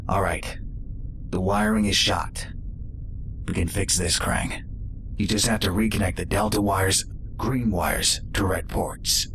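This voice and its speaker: A man voicing an earnest, principled robot scientist in a comic drama, speaking in a measured way. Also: low voice, steady tone, creaky, a bit bright, slight background noise, exaggerated voice. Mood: focused. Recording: music behind.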